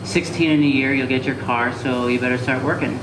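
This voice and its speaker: A young man from Connecticut talks through his story in a relaxed, wandering way, with plenty of ums. He is recorded live in a cafe that echoes around him. A steady hum of room noise sits under his voice. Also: mid-range voice, fairly quick, far from the mic. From Connecticut, USA. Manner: relaxed and rambling, with many fillers. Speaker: chef, interview guest. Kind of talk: casual interview answers. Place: live talk event in a cafe.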